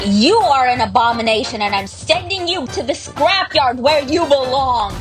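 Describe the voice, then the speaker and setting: A woman playing a fanatical female supervillain in an audio drama, with theatrical, deliberate delivery. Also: high-pitched, animated. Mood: contemptuous. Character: cold fanatical female supervillain, contemptuous of machines. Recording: loud music behind.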